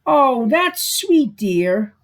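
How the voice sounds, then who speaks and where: A woman's voice: high-pitched, sing-song, very slow, full character voice. A woman voicing a caring, affectionate mother in a children's storybook.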